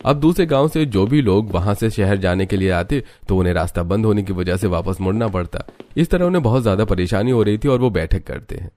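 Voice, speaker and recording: Low-voiced male story narrator, very dry. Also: animated, a little fast, hardly any pauses, a little mellow, no room sound.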